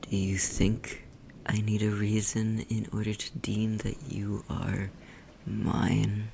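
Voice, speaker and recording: An ASMR roleplay performer voicing a haughty, possessive demon who condescends to and teases a human captive, often in a breathy whisper. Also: medium pitch, level tone, slow, a little mellow, slight background noise. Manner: condescending, teasing, imperious, theatrically formal.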